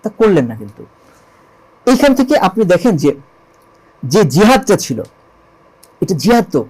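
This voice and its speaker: A Bangladeshi man with a high-pitched voice explains religious history as a storyteller. He is emphatic, repeating key phrases and asking rhetorical questions as he goes. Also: big pitch swings, a little slow, a little mellow, breathy edge, low-quality recording. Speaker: interview guest, lyricist and composer.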